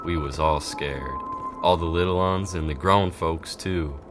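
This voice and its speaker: Deep-voiced folksy storyteller played by a man. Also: measured pace, over loud music. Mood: folksy, dramatic. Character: folksy Old West storyteller, unhurried male townsman. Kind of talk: scripted narration of a Western folk tale.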